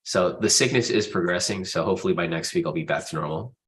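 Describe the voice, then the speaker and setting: A man's voice: low voice, fast, fairly bright tone, a little hiss or hum. A man with a stuffy nose from a cold, hosting a live trading stream in a casual, informal way and addressing viewers directly. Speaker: host of a live trading room.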